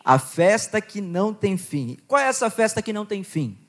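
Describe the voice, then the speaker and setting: A young man with a Goiás accent preaching to a youth conference, joking with the crowd, reading scripture and praying in a quieter, lower voice. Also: big pitch swings, normal speed. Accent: Goiás Brazilian Portuguese. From Goiás, Brazil. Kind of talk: sermon with Bible reading and prayer.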